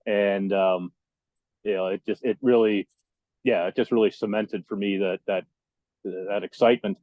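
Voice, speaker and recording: A man in his fifties reminiscing casually as an interview guest, halting and detail-minded, over a dull, lo-fi connection. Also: on the low side, fairly even intonation, no room sound. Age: 50s. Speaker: interview guest, audio electronics technician.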